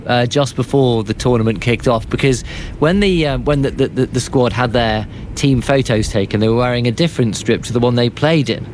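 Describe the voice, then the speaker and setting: A male presenter chats in a conversational broadcast style with a medium-pitched voice. His phrasing is a little halting, and there is a faint rumble behind him. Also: a little mellow. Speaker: programme presenter. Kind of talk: conversational broadcast chat with reporter.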